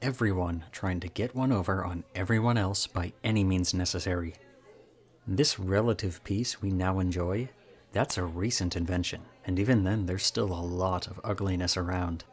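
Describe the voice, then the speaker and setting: A very low-pitched young college guy, played by a male voice actor in an intimate roleplay audio drama. He is chatty and charming, pokes fun at himself and teases softly, with something subtly odd about him. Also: moderate pace, natural voice. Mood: pensive, cynical. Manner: chatty, self-deprecating, softly teasing.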